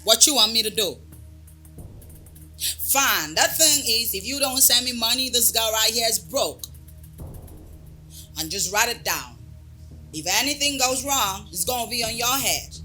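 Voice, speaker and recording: A woman's voice: on the high side, expressive, a little slow, pauses often, sharp tone, faint music behind, full character voice. A woman playing a young woman who confronts and swears at a friend who wronged her. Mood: whining.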